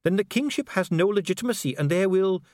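A man's voice: sits high, expressive, fast, darkish tone, no room sound, studio quality, voice pushed up. A man voicing a solemn, disdainful dwarf official in an audiobook, formal, precise and pompous. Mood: stiff, solemn. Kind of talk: audiobook character acting.

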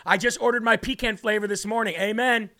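A man with a raspy voice hosting a faith livestream, preaching and reading viewer comments aloud, often repeating phrases for emphasis. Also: high voice, steady tone, a little fast, pushed and bright. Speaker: host of a faith livestream show.

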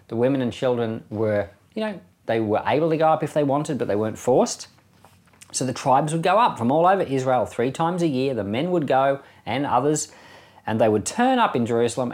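Animated man teaching the Bible informally. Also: mid-range voice. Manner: conversational, enthusiastic, with rhetorical questions. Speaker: pastor teaching a Bible podcast.

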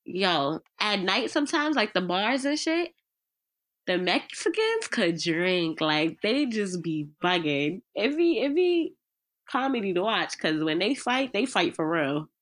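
A woman's voice: medium pitch, very animated, a little slow, fairly bright tone. A young woman with a stuffy nose, chatting and laughing on a podcast in slangy talk. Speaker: podcast co-host. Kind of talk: casual chat.

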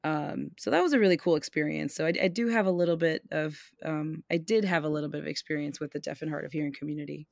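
A woman's voice: deep voice, quick pace, creaky, few pauses, a little mellow, no echo, clean studio sound. A woman from Puerto Rico co-hosting a podcast, chatting in an easygoing, agreeable way. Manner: easygoing, agreeable, many fillers. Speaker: podcast co-host. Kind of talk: casual podcast conversation.

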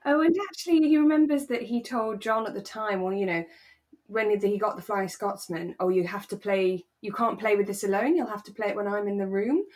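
A woman co-hosting a podcast, talking in a relaxed, chatty and reflective way. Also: mid-range voice, a little fast. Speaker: podcast co-host. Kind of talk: relaxed casual discussion of a radio drama.

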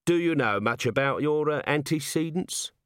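A man's voice: a little high, animated, normal speed, a bit bright, clean tone, no echo, voice pushed up. A man doing a weary, stern watch commander. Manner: dry, tired, clipped. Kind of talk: audiobook character voice.

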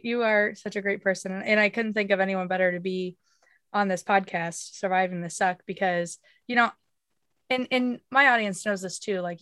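A woman's voice: medium pitch, a bit bright, dry recording, clean studio sound. A woman hosting a casual podcast, effusive and earnest, her voice a little off as she recovers from an illness. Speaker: podcast host.

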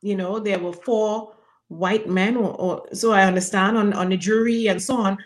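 A woman's voice: medium pitch, steady tone, normal speed. Woman running a panel talk, emphatic, repeats herself for punch. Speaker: panel host. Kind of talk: panel discussion moderating.

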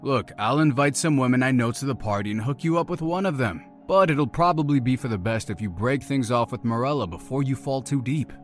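An actor playing a pushy, needling best buddy of about thirty in an audio drama, brash and blunt in manner. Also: male voice, mid-range voice, expressive, brisk, hardly any pauses, soft background music, natural voice. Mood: persuasive, feigned concern. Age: around thirty.

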